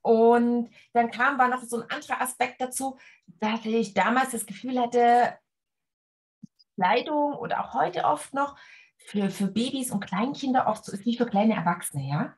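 A woman in her forties from Leipzig, a sewing teacher talking casually on a video call, relaxed with many fillers and hand gestures. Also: fairly high pitch, normal speed. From Leipzig, Germany. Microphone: webcam on a video call.

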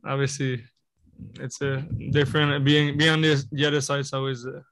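Flat-voiced young man, halting answers. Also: medium pitch. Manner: halting, filled with "you know" and "I mean". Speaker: hockey player. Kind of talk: postgame press conference answers. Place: press conference room. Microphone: table mic in front of him.

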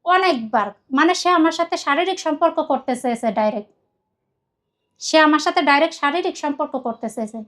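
High-pitched, fast-talking Bangladeshi woman. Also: animated, a bit bright. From Khulna, Bangladesh. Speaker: guest telling her life story. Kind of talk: personal confessional account in conversation.

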